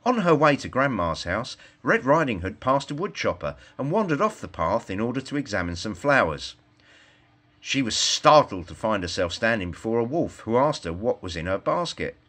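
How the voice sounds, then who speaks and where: A man reading a scripted satirical fairytale as storyteller, in an expressive storybook manner. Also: mid-range voice, moderate pace.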